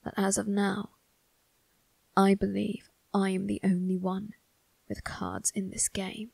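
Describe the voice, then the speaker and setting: A woman voicing a cold, condescending male vampire crime boss in an ASMR roleplay, speaking in a husky, gravelly near-whisper with slow, deliberate menace. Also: medium pitch, level tone, a little mellow, no room sound, full character voice. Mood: taunting. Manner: slow, deliberate, measured taunting. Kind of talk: ASMR roleplay monologue addressed to the listener.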